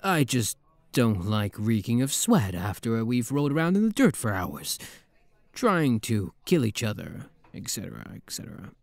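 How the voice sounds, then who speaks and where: Man playing a flirty, animated demon prince. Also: medium pitch, normal speed, creaky, dry recording. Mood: flirty, teasing. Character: flirty, playful demon prince, the listener's rival. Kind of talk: intimate ASMR roleplay audio.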